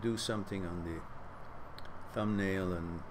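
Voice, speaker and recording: A man from Iran, a Farsi speaker, hosting a casual live chat and giving earnest, reflective advice with loose pauses and fillers. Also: low-pitched, steady tone, background noise. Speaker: host reading live chat questions.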